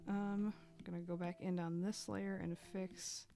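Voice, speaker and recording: A woman chatting casually while she draws on a live stream, thinking aloud with fillers and little laughs, a bit hoarse as she loses her voice. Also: on the low side, little pitch movement, measured pace, mellow, faint music behind. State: losing her voice.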